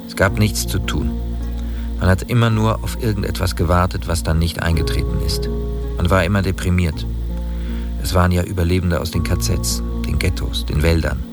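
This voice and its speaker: A man's voice: low-pitched, fairly even intonation, moderate pace, music in the background. A man soberly reading witness memories in a documentary radio drama.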